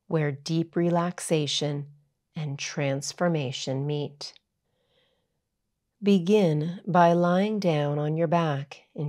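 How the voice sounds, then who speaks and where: A woman yoga teacher narrating a guided meditation in a soothing, measured, unhurried way. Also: low-pitched, a little mellow.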